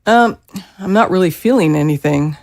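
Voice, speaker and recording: Woman playing a low-voiced, subdued undead mother. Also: expressive, moderate pace, gravelly. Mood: subdued, uncertain. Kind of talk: audio drama dialogue.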